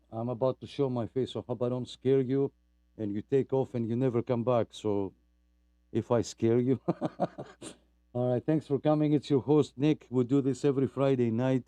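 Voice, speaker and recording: A man's voice: mid-range voice, steady tone, moderate pace, pauses often, warm tone, clear, resonant tone, dry recording. A man from Greece hosting a weekly radio net, rambling and chatty with lots of fillers and apologies for talking too much. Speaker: net host. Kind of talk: casual radio net chat.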